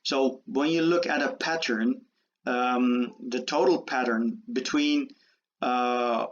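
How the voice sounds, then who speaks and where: A man born and raised in the Netherlands giving a university lecture, halting with many fillers and restarts. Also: medium pitch, steady tone. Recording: dull lo-fi lecture audio.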